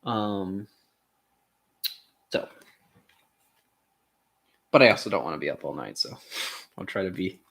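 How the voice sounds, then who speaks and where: A man's voice: low-pitched, expressive, a little slow, vocal fry, long pauses, fairly bright tone. A man reading a philosophical essay aloud as a streamer, with frequent hesitations and off-the-cuff asides.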